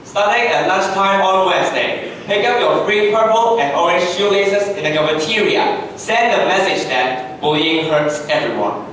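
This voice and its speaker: An earnest schoolboy played by a male reader talks in a high voice. The amateur stage reading is recorded from a distance in a very echoey room, with faint noise behind. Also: expressive, normal speed, fairly bright tone. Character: Mark, earnest schoolboy standing up to bullies.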